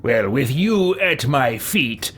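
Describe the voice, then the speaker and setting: A man's voice: mid-range voice, sing-song, slow, fairly bright tone, slight background noise. Pompous, theatrical man with swooping delivery. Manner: pompous, theatrical, put-upon. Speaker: let's play host. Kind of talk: improvised roleplay commentary over a game. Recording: game music behind the voice. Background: fantasy game music.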